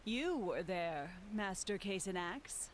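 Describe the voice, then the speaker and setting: A woman's voice: mid-range voice, lively intonation. A fantasy game housekeeper, primly courteous and evasive. She takes her time over every formal phrase. Faint hiss sits under the voice. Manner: formal, unhurried, primly polite. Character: courteous, evasive female housekeeper of a mysterious manor. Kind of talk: scripted fantasy game dialogue.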